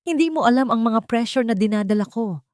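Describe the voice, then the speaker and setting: A woman's voice: on the high side, lively intonation, fairly quick, a little mellow, dry recording, studio quality, voice pushed up, lightly acted. A woman voicing an angry, defensive husband under pressure in a narrated drama story. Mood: angry, frustrated.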